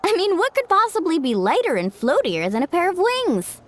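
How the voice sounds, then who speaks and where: A woman's voice: very high-pitched, huge pitch swings, a little fast, a little hiss or hum, exaggerated voice. A woman voicing a cheerful, earnest young girl in a video game, bubbly and eager. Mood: friendly.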